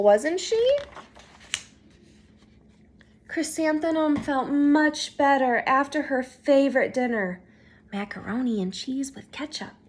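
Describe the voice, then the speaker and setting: A woman teacher reading a children's storybook aloud in an expressive, animated way, pausing to put questions to young listeners. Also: high-pitched.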